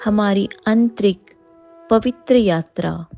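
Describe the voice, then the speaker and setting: Very slow, mellow Indian woman guiding meditation. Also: medium pitch, creaky, lo-fi sound, faint music behind. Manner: soothing, unhurried, with long pauses. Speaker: yoga nidra guide. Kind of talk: guided meditation.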